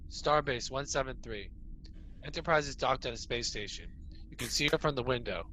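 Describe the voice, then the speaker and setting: A man's voice: medium pitch, normal speed, bright, forceful tone, a little hiss or hum, rough recording. A man narrating stage directions at an amateur table read of a sci-fi script.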